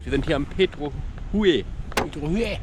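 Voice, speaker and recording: A man's voice: fairly high pitch, lively intonation, moderate pace, music in the background. A man on a kayaking trip giving a casual update outdoors. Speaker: kayaker on an expedition. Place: outdoors at a campsite. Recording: outdoor camera, faint ambient noise.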